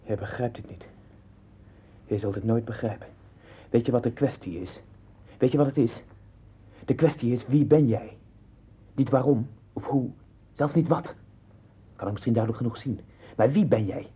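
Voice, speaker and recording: Troubled searcher, very husky and breathy, insistent and probing, radio drama, dull old recording. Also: male voice, medium pitch, moderate pace, pauses often. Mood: intense, insistent. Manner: insistent, probing questions and fevered monologue. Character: troubled, searching man sinking into obsessive doubt. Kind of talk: 1960s radio play acting in Dutch.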